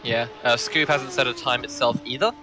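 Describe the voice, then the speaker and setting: A man commentating an online sim race, easygoing and pausing often with fillers. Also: mid-range voice, soft background music. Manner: relaxed, many filler pauses.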